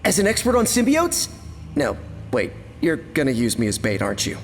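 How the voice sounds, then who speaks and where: Chatty young superhero, high voice, self-deprecating wit, nervy, long reverb, game dialogue. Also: male voice, wildly swooping pitch, moderate pace, soft background music. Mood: wry, exasperated. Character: wisecracking, anxious young male superhero. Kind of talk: video game character acting.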